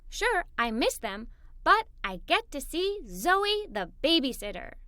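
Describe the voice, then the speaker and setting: A woman reading a scripted children's story aloud in an animated, expressive way. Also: high-pitched, unhurried, no room sound, studio quality. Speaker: story narrator. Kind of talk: scripted children's story read-aloud, first person as a young girl.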